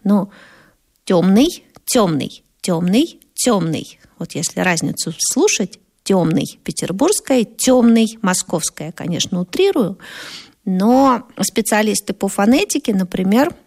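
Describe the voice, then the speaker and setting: A woman from Moscow with a Moscow Russian accent, giving a conversational radio lecture as a linguist, lively and acting out the sounds she explains. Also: mid-range voice, unhurried, gravelly. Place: radio studio.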